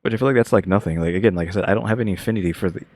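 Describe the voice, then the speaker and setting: Man, very low voice, rambling reaction commentary, lots of filler words. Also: very fast, a little mellow. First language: English. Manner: rambling, heavy use of filler "like". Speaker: reaction video host.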